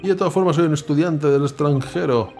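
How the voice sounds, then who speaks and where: A man's voice: on the high side, brisk, darkish tone, soft background music, lightly acted. A man reading the lines of an earnest young male student abroad who feels wronged, in an indignant tone.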